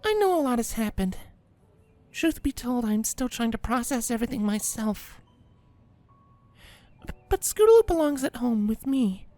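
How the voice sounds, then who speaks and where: A woman plays a polite, insistent pony mother in a fan-made audio story. Her voice is high and swings expressively, recorded dry with music underneath. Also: sing-song, normal speed, pauses often, warm tone, no room sound. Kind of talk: dramatic fan fiction audio reading.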